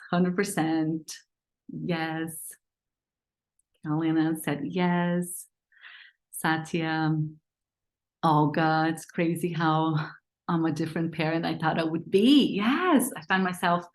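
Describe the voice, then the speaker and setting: Earnest parenting coach, slightly low woman. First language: Portuguese. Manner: earnest and conversational, reading chat replies aloud. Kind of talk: live online parenting workshop.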